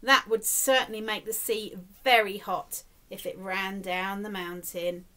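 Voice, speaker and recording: A middle-aged woman reading a picture book aloud to young children, expressively and deliberately, prompting them with questions. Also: medium pitch. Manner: animated, slow and deliberate, prompting young listeners. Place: indoors, small room with decorated wall.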